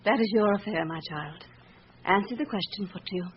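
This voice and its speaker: A woman's voice: mid-range voice, a little fast, close to an everyday voice. A woman playing a haughty, defiant upper-class French lady, cold and imperious, in a radio drama. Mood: icy, dismissive. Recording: muffled old radio recording, background hiss.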